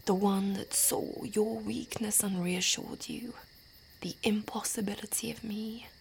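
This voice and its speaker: A woman in an audio drama speaking in a soft, intimate whisper. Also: medium pitch, fairly even intonation, measured pace, a little hiss or hum, poor audio. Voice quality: breathy.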